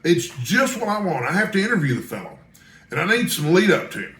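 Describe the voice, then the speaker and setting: An eager young reporter, acted out by a man reading aloud in a storybook narration. He is courteous, earnest and sure of himself. His bright voice carries clear room echo. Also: high voice, sing-song, a little fast, slight background noise, full character voice. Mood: eager, grateful. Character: Malone, eager, polite, self-assured young male newspaper reporter. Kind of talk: audiobook character dialogue.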